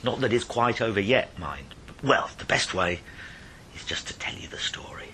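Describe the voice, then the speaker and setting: A man telling a ghost story in verse with theatrical delivery, moving between hushed and emphatic phrases with dramatic pauses. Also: on the low side, lively intonation, in a whisper.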